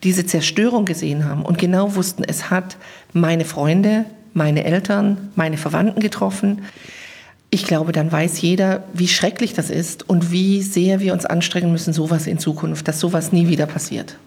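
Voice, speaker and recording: A woman's voice: on the low side, steady tone, moderate pace, a little mellow, husky. A woman speaking as an interview guest, explaining her views in German. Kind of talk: spoken interview answers, explanatory.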